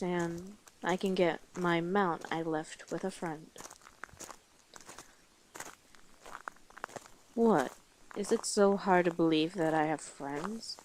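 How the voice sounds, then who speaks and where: A woman's voice: lowish voice, darkish tone, a little hiss or hum, natural voice. A woman playing a brash, teasing female orc guide in a fantasy audio roleplay, with a blunt, sardonic manner. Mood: teasing, bossy.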